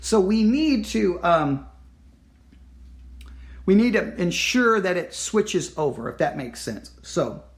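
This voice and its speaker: A man hosting a casual solo podcast, hoarse from losing his voice, rambling and correcting himself as he earnestly pleads with listeners. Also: sits high, sing-song, takes it slowly, pauses often. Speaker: podcast host.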